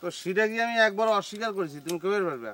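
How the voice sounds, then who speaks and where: Animated high-pitched boastful village man. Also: very fast. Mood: defensive. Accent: rural Bangladeshi dialect. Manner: bossy, boastful. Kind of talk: comic TV drama acting.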